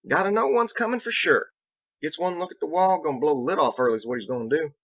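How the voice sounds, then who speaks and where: A man's voice: a little high, quick pace, fairly bright tone, dry recording, lo-fi sound, pitched above the performer's own voice. A man voicing a folksy, blunt young small-town sheriff with a drawl in a storybook reading. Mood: worked up.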